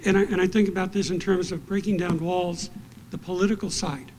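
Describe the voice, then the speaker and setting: A man's voice: sits high, steady tone, a little fast, darkish tone, a little hiss or hum. A man moderating a panel discussion, asking inquisitive questions with hesitant restarts.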